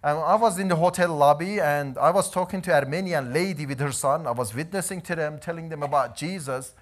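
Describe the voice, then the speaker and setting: Male missionary, 30s, lively storytelling testimony, fairly high voice. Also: fairly quick. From Iran. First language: Azeri. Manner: animated storytelling, gesturing while pacing. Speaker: missionary preacher. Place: church hall, indoors.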